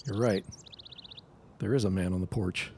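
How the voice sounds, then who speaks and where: A man playing an easygoing, folksy young man in an audio drama, speaking in an animated way. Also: low voice, normal speed, a little hiss or hum, lightly acted. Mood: uneasy.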